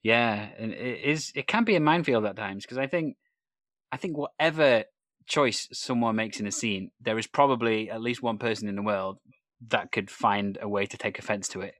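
A man from North Wales with no Welsh accent, hosting a relaxed interview, thoughtful and a little hesitant, quick to laugh. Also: fairly low pitch, no echo, studio quality. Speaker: podcast host. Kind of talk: conversational interview.

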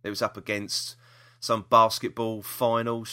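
Bright-voiced, rambling British man. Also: on the low side, level tone, unhurried, clean tone. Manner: hesitant, rambling, repeats himself. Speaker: podcast host. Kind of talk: casual chat.

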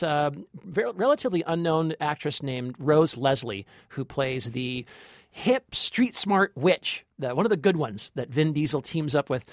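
A man's voice: on the high side, no echo, studio quality. A man co-hosting a film review podcast, chatting casually and giving his opinions with enthusiasm. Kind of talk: casual movie review chat.

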